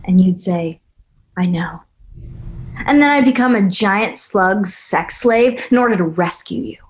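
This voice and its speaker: A woman's voice: mid-range voice, expressive, a little slow, natural voice. A woman reading the part of a wry, geeky love interest in a script table read. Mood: wry, playful.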